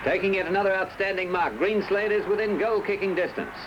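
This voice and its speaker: High-pitched Australian newsreel man, noisy old soundtrack. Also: a little fast, highs cut off. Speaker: newsreel commentator. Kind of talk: scripted 1970s sports newsreel narration.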